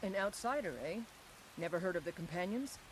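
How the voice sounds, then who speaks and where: A woman's voice: fairly low pitch, expressive, brisk, crowd noise, lightly acted, poor audio. A woman playing a proud, battle-hardened mercenary warrior in a fantasy video game, with a haughty, clipped manner. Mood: stern, proud. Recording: clear hiss and rain behind.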